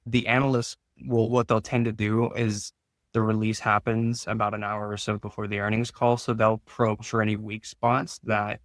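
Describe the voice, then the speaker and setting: A man's voice: fairly low pitch, flat delivery, moderate pace, no echo, clean studio sound. A man giving informal, explanatory answers as a finance expert in an interview.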